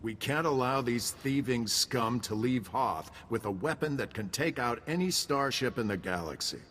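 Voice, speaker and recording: Forceful, blunt admiral played by a man. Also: mid-range voice, animated, moderate pace, in a large hall, a little hiss or hum, natural voice. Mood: gruff, determined. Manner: blunt, commanding, salty. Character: gruff, pugnacious male military admiral. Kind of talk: video game voice acting.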